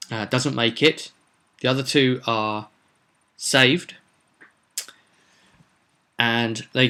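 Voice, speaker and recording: Male storyteller, mid-pitched, informal and halting, recapping a roleplay game session conversationally. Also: pushed and bright.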